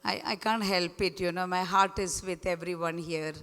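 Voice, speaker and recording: A hoarse woman in her fifties, a school principal, giving an earnest, emphatic speech at a school event and addressing the audience directly. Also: on the low side, fairly even intonation, moderate pace, fairly bright tone. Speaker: school principal, guest speaker. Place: large event hall. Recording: reverberant hall over speakers.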